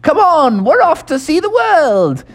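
Adult storyteller doing a high-pitched, grand toad gent, jolly and reckless, for a kids' story. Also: big pitch swings, measured pace. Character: boastful reckless old male toad gentleman. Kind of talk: improvised bedtime story.

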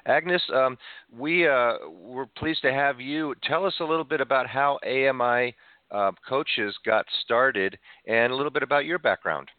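A man hosting a business radio show, reading a guest introduction in a measured way. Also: medium pitch, no room sound. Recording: tinny phone-like sound.

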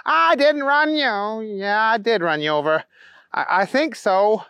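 Man doing a high-voiced, clueless bumbling storybook guy. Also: bright, forceful tone, clear, resonant tone, no echo, exaggerated voice. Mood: flustered, unsure. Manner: slow-witted, puzzled. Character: dim, naive, bumbling man, clueless motorist. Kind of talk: children's storytelling character voice.

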